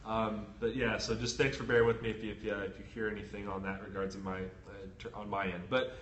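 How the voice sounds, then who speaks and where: A man with a head cold and a stuffy nose, co-hosting a casual sports podcast with quick, chatty, joking talk. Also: on the low side, level tone, fairly bright tone, lo-fi sound. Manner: quick, chatty, self-deprecating joker. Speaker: sports reporter co-host. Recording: roomy echo with faint ambient noise.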